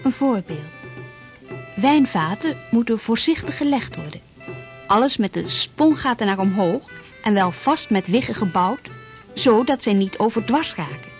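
An actress playing a formal reciter of old historical documents in a scripted radio drama. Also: female voice, fairly high pitch, expressive, measured pace, soft background music.

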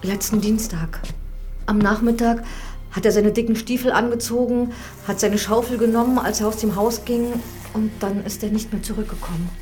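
A woman's voice: a little high, steady tone, normal speed, darkish tone, soft background music, lightly acted. A woman playing a village housekeeper in a radio drama, plain-spoken and deferential yet blunt. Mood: plain, reporting.

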